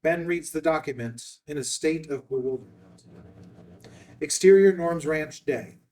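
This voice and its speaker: A man's voice: fairly high pitch, a little slow, darkish tone. A man narrating scripted stage directions for a screenplay read, recorded at some distance from the microphone.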